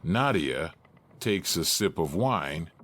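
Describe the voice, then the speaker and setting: A man acting as the narrator of an audio drama, reading scripted stage directions deliberately. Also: sits low, big pitch swings, fairly bright tone, full character voice. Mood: neutral.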